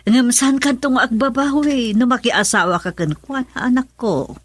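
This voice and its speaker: A woman performs a pushy mother from an Ilocano radio drama, with an Ilocano accent. Her voice is fairly high and recorded dry. She nags and insists, always scheming. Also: moderate pace, no room sound, lightly acted. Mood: nagging, insistent. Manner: nagging, insistent. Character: pushy, scheming mother urging her daughter to marry money.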